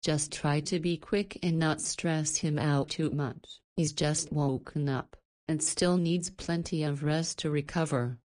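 A woman's voice: low-pitched, fairly even intonation, measured pace, hardly any pauses, darkish tone. An actress voicing a teasing, confident, protective woman in a visual novel, with a knowing and forthright manner. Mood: stern, protective.

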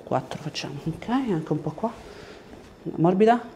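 A man, working as a chiropractor and giving short, gentle instructions and questions during an exam. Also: high-pitched, lively intonation, unhurried, slight background noise. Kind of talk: hands-on exam, instructing a patient. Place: small treatment room indoors.

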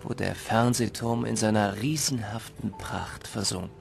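An actor playing a grown man wistfully recalling his orphan boyhood in a measured, reflective radio drama narration. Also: lowish voice, fairly even intonation, gravelly, faint music behind.

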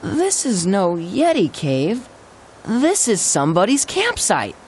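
A woman's voice: mid-range voice, wildly swooping pitch, slow, darkish tone, a little hiss or hum. A woman voicing a skeptical, inquisitive young detective in a cartoon game. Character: skeptical, sharp-eyed young detective in kids' gang.

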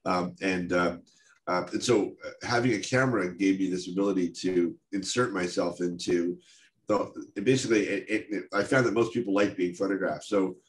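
An older American man with a head cold, talking in a halting, casual way on a video call from his home study, full of restarts and wry asides. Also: deep voice, steady tone, a little hiss or hum. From United States. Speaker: guest speaker. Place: home office or study, indoors. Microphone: video call webcam.

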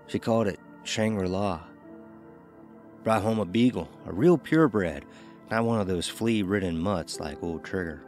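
A man's voice: low-pitched, expressive, measured pace, a little mellow, faint music behind. An actor playing a weary, regretful man with terse, plain-spoken talk in an audio drama. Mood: wistful.

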